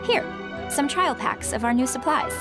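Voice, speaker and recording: A woman's voice: sits high, expressive, moderate pace, over music. An actress playing a courteous, businesslike female merchant in a video game, speaking formally and with composure. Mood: polite, businesslike. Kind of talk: English video game dub acting.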